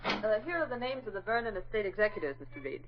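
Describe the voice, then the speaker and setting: A woman's voice: medium pitch, very animated, quick pace, music in the background, natural voice. A woman playing a loyal, good-humored young secretary in a muffled 1940s radio drama. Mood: businesslike.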